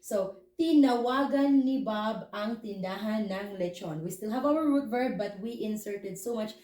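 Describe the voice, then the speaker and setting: A woman's voice: medium pitch, unhurried, warm tone. A woman with a Filipino accent teaching a language lesson step by step, in a didactic way with check questions. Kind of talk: instructional lesson, explaining step by step. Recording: echoey, roomy sound.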